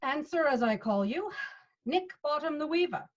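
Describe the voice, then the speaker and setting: A woman's voice: high-pitched, expressive, moderate pace. A woman playing a patient male leader of amateur players in a Shakespeare read, instructing expressively over a video call. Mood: businesslike. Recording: dull video-call audio.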